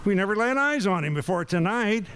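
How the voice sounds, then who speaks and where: Man as a high-voiced, flustered hapless fellow. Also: lively intonation, brisk, fairly bright tone. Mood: flustered, defensive. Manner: defensive, sputtering. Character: flustered, big hapless male babysitter protesting innocence. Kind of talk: old-time radio comedy acting.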